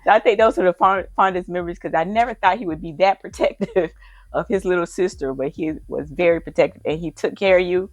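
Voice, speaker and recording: An older woman fondly reminiscing about raising her children in a casual family conversation, laughing softly now and then. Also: fairly low pitch, fast talker, no room sound. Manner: fond and reminiscing, laughs softly while talking. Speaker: mother sharing family memories.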